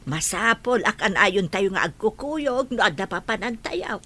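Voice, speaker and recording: A woman's voice: medium pitch, animated, measured pace, pushed and bright, husky, full character voice. A woman playing an elderly, approving grandmother with an Ilocano accent in an audio drama. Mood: urging. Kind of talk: Ilocano radio-style drama acting.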